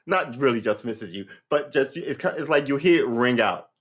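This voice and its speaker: A man in his fifties in a rambling, reflective monologue, full of restarts and "you know", and his pitch jumps when he gets worked up. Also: normal speed. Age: 50s. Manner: lively pitch swings when animated. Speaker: vlogger. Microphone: livestream setup.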